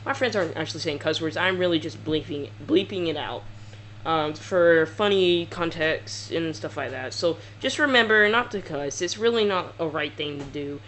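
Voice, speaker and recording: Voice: medium pitch, a bit bright. A YouTuber telling a personal story in a casual, rambling, slangy way. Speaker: YouTuber narrating a personal story over gameplay.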